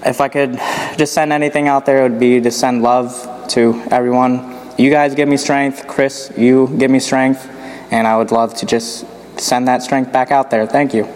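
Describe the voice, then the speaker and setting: A young man from Northeast Ohio leaving a calm, earnest message for a podcast while at the gym. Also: mid-range voice, normal speed, long reverb tail, poor audio. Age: young adult. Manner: calm, earnest, flat delivery. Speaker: listener calling in.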